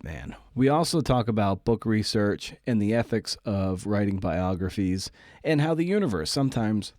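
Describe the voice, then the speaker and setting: A man's voice: fairly low pitch, a little mellow, no echo, clean studio sound. A man hosting a podcast, talking casually and colloquially with playful asides as he introduces and interviews.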